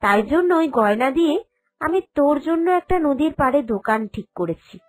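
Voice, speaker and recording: A woman's voice: high-pitched, lively intonation, brisk. A woman voicing a sensible, caring young woman of the family in a cartoon drama, earnest and reassuring. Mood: reassuring, explaining. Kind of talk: Bengali cartoon drama dubbing.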